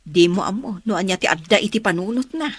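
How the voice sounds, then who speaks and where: A woman voicing a caring middle-aged mother in a radio drama, giving gentle motherly advice. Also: medium pitch, animated, fast, breathy edge.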